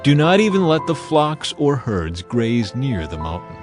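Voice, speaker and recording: A man's voice: medium pitch, very animated, measured pace, over music. A man voicing a solemn, commanding God in dramatized scripture, grave and declamatory. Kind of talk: dramatized audiobook acting.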